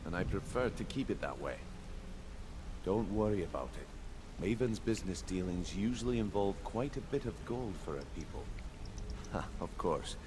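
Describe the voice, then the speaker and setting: A man's voice: deep voice, animated, normal speed. An actor playing a shrewd veteran guild boss in a fantasy video game, brusque and businesslike with his underling. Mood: guarded, approving. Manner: brusque, approving, calls people lad. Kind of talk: video game character dialogue. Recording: clear noise behind the voice.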